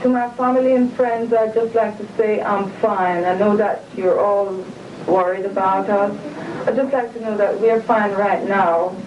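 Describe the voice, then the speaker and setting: A woman answering interview questions in a calm, earnest way, speaking about family and faith. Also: medium pitch, normal speed, dull audio. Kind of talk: videotaped personal statement. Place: room inside a residential compound building. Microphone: camcorder microphone some distance away. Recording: distant, echoey, hissy old videotape.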